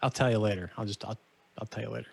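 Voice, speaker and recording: Male tabletop player, gravelly low voice, casual role-play delivery. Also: racing, no echo. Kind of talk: casual in-character play chat.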